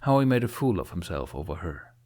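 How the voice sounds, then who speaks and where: A man reading scripted fiction as an expressive audiobook narrator. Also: low voice, fairly quick.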